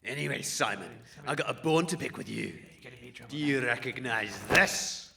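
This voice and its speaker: An actor playing a swaggering, menacing raider chief who fancies himself a comedian, in a comic audio drama. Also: male voice, mid-range voice, expressive, moderate pace, creaky, sharp tone. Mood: furious bullying. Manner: bullying, showboating, flips from jokey to threatening.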